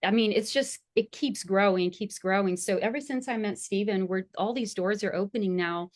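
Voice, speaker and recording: A woman astrologer speaking in a conversational interview, enthusiastic and animated, laughing often as she talks. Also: mid-range voice, normal speed, dry recording, clean studio sound. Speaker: astrologer and school founder.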